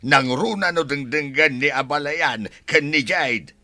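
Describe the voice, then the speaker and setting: An actor playing a married older father in an Ilocano radio drama, with a raspy voice and an Ilocano accent. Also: on the high side, unhurried, bright, forceful tone, no echo. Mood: exasperated, scolding. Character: married older father, forceful and easily exasperated.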